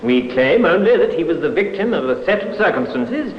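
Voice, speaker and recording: Oratorical defense counsel, echoing hall. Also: male voice, on the high side, sing-song, a little fast. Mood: impassioned pleading. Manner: oratorical, impassioned. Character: grandiloquent, pleading male defense counsel. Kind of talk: 1950s radio drama acting. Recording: echoey hall, muffled noisy old radio.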